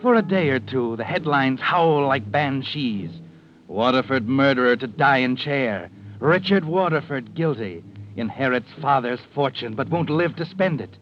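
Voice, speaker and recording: Actor as an ominous radio mystery narrator over music. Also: male voice, medium pitch, animated, unhurried, lightly acted. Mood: ominous, knowing. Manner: dramatic, insinuating second-person narration. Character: sardonic male narrator addressing the schemer, ominous and knowing. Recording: muffled old radio, music behind.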